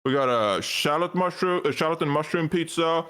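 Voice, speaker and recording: A man's voice: on the high side, a little fast, a bit bright, clear, resonant tone. A man from Sweden, a podcast co-host, chatting casually in a laid-back way and often trailing off with fillers. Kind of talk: casual chat.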